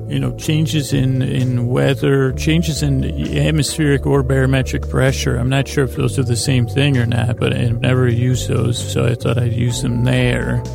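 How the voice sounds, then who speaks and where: Creaky, droning man rambling at bedtime. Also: mid-range voice. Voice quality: creaky, gravelly. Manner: meandering, halting, with stammered tangents and pauses. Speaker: host of a bedtime sleep podcast. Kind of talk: rambling bedtime monologue to lull listeners to sleep.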